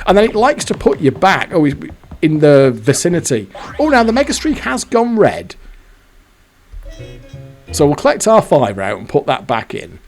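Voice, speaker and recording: A man's voice: on the high side, wildly swooping pitch, moderate pace, long pauses, faint music behind. A man giving casual, exasperated commentary while playing a fruit machine, swearing now and then. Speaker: slot machine video host.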